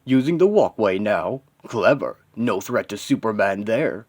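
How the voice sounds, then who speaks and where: A man's voice: on the low side, animated, measured pace. Comic-book villain, done by a male narrator: massive alien despot, cruel, boastful, sneering contempt. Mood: taunting. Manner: taunting, boastful.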